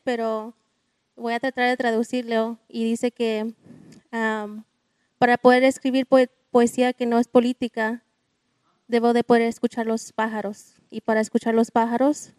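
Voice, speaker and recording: A Mexican-American woman speaks up from the audience in careful, halting Spanish, drawing on her English. Her voice is fairly high and flat. It sounds dry and close. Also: smooth, dry recording. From United States. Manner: hesitant, searching for words. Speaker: audience member. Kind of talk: audience comment at a book talk.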